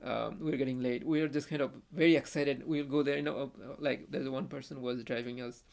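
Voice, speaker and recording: Young man, Bangladeshi accent, native Bengali speaker, gravelly, halting, full of fillers, podcast guest. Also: medium pitch, fairly even intonation, darkish tone. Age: young adult. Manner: halting, with frequent restarts and fillers. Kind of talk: casual conversational storytelling.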